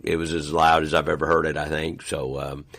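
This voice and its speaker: Deep-voiced, slightly hoarse pastor. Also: male voice, fairly quick. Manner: relaxed, trailing off with fillers. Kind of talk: casual podcast chat.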